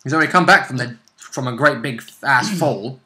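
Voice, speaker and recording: A man's voice: medium pitch, normal speed, pushed and bright, roomy sound. A man chatting casually as a player and co-host of a tabletop game show, blunt and prone to swearing.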